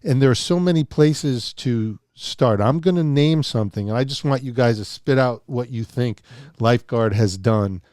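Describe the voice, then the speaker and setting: Male interviewer, warm dark tone, measured and conversational, dry close sound. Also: mid-range voice, dry recording. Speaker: host, interviewer.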